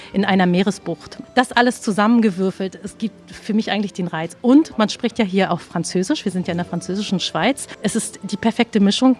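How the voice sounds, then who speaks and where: A woman's voice: medium pitch, a little mellow. A middle-aged woman from Berlin working in tourism, chatting eagerly in an interview on an outdoor terrace. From Berlin, Germany. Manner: chatty, enthusiastic, many fillers. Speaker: tourism office employee. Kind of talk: casual interview.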